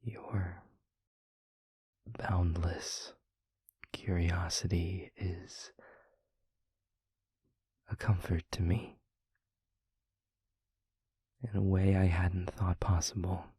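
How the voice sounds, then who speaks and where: A man playing a tender, eloquent demon lover in an intimate audio roleplay, with a breathy, husky, gravelly murmur and florid, deliberate phrasing. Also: sits low, flat delivery, dark tone, no room sound, exaggerated voice. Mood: tender, earnest.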